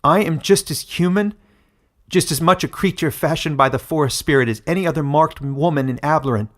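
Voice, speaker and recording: A man's voice: on the high side, brisk, voice pushed up, lightly acted. A man voicing a defiant young girl in a fantasy audiobook, speaking earnestly and firmly. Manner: earnest, firm, emphatic. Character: defiant young girl standing up to her mother. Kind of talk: dramatic fantasy audiobook character reading.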